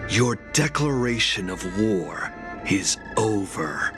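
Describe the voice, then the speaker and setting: An actor playing a bold, righteous young warrior in a video game, speaking in a stern, declamatory way. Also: male voice, on the low side, expressive, slow pace. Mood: stern defiance. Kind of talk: video game cutscene acting. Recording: very loud game music behind the voice. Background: game soundtrack music.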